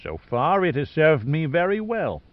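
A man's voice: a little high, big pitch swings, unhurried, dry recording, lo-fi sound, voice pushed up. A man voicing an arrogant, callous older male tycoon villain in an audiobook, speaking with cold, pompous scorn. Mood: smug contempt. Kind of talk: audiobook character dialogue.

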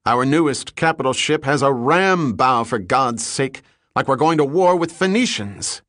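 A man's voice: medium pitch, animated, a little slow, fairly bright tone, no room sound, clean studio sound, voice pushed up. A man playing a blunt, commanding navy sea captain in an audiobook, emphatic and instructing as he explains. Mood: excited, exasperated. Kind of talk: dramatic audiobook character reading.

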